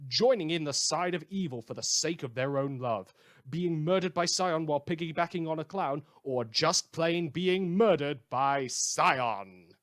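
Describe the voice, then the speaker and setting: A man's voice: on the high side, a little slow, fairly bright tone. A man playing a sardonic radio newsreader in a post-apocalyptic world, reading scripted satirical news with dry, rambling asides. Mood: dry, deadpan. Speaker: radio host.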